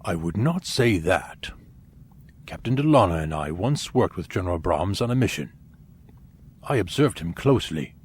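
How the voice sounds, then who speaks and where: A man playing a grave, honorable alien warrior officer in an audio drama, speaking in a formal, measured and deliberate way. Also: fairly low pitch, a little mellow, husky.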